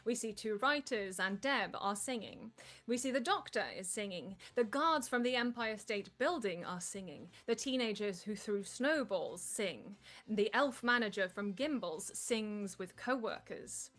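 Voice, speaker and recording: A woman's voice: medium pitch, lively intonation, measured pace, a bit bright. A woman reading stage directions as the narrator of a live script table read.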